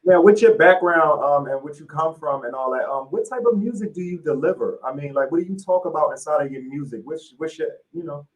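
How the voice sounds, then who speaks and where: A man's voice: a little high, fairly quick, few pauses, rough recording. A man hosting a podcast, asking rambling, laid-back questions in casual slang. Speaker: podcast host. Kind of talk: casual interview chat.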